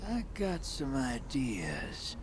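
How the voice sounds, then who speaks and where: Game cutscene: an easygoing tinkerer ally, slow and lively in tone. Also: male voice, medium pitch, heavy background noise, exaggerated voice. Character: practical male ally, a gear-minded tinkerer. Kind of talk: video game cutscene acting.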